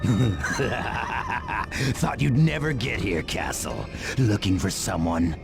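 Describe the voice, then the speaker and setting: An actor playing a cocky assassin villain in a video game, taunting and menacing, with a gravelly voice. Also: male voice, lowish voice, lively intonation, heavily acted. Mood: mocking menace. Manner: slow, mocking, menacing drawl. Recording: loud music behind.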